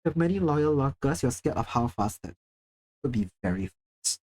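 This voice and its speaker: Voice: medium pitch, expressive, moderate pace, a little mellow, rough recording. A livestreamer from Singapore chatting casually with viewers, chatty and self-deprecating, swearing now and then. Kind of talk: casual live-stream chat, reading chat.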